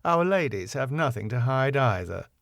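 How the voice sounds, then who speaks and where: A man's voice: medium pitch, big pitch swings, normal speed, creaky, a bit bright, dry recording, clean studio sound. Man voicing a sardonic, long-suffering sitcom wife. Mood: weary, sardonic. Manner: sardonic, scolding.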